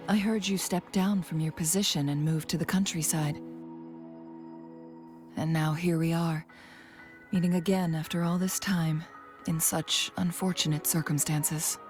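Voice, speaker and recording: A poised, watchful counselor from a video game. Her voice sits fairly low and she speaks with deliberate, measured composure. Music plays clearly behind her. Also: fairly even intonation, darkish tone. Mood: measured, wistful.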